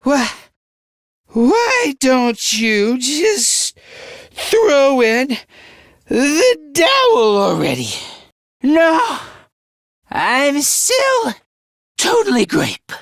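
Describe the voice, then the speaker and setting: A man voicing a timid, self-deprecating yet excitable teenage boy in a video game, with a hesitant, stammering delivery and theatrical anime-style acting. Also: very high voice, slow pace, long pauses, no echo, studio quality, heavily acted. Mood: feverish, stubborn. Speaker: voice actor.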